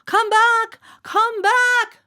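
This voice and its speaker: A woman's voice: very high-pitched, flat delivery, very slow, bright, forceful tone, pitched above the performer's own voice, full character voice. A woman voicing frantic parents calling out for their children in a storybook, over music.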